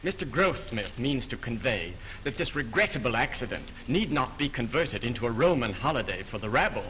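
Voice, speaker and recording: An actor in a 1930s film playing a pompous, wordy studio aide who smooths things over for his boss. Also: mid-range voice, very animated, fairly quick, a bit bright, a little hiss or hum, poor audio.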